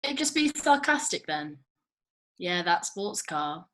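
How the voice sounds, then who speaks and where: A woman with a fairly low voice makes casual suggestions in a script discussion. She comes across as tentative, phrasing her ideas as questions. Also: big pitch swings, takes it slowly, a bit bright. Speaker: table read participant.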